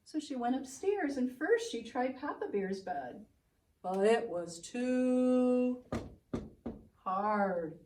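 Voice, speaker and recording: A woman's voice: fairly high pitch, expressive, takes it slowly, darkish tone, recorded from a distance, slight background noise. A woman preschool teacher telling a children's story with exaggerated expression, speaking directly to young listeners. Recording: roomy, away from microphone.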